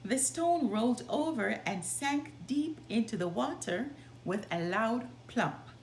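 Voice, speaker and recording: A woman reading a children's story aloud in an expressive, deliberate way. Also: a little high. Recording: roomy sound, background noise.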